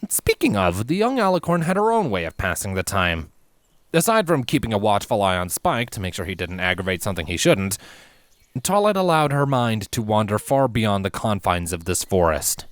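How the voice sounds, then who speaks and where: Expressive, lowish-voiced male storyteller. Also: normal speed, no echo. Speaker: fan fiction narrator. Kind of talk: scripted storybook narration.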